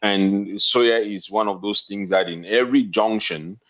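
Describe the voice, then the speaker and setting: A man in his fifties with a Cameroonian accent, talking politely and haltingly as an interview guest. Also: fairly low pitch, a bit bright. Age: 50s. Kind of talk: casual interview talk. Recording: dull, lo-fi internet call audio.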